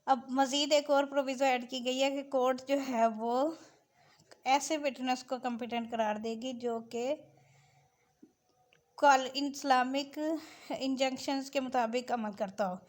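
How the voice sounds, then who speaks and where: Higher-pitched Pakistani woman explaining law. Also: steady tone. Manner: switches between Urdu-Hindi and English, slow when reading out clauses. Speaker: law lecturer. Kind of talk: explanatory lesson, reading out and explaining legal text.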